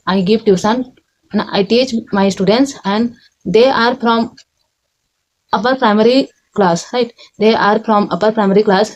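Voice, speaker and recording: A young woman from Chhattisgarh with an Indian accent, whose first language is Chhattisgarhi, talking in halting learner's English at home, often correcting herself and adding "right?". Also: on the high side, darkish tone, dull audio. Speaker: English learner vlogger and tutor. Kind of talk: unscripted personal talk. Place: home in a village.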